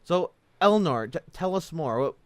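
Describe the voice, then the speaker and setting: A man with a slightly high voice interviews in a casual, loose style. He rambles through his thoughts and questions informally, like an amateur host talking things over with a guest. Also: lively intonation, moderate pace, dry recording. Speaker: interviewer, talk show host.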